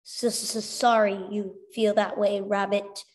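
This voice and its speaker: A woman voicing a timid, glitchy female robot spider that speaks haltingly, word by word, with stuttered consonants. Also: medium pitch, little pitch movement, darkish tone, natural voice. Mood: timid, hesitant.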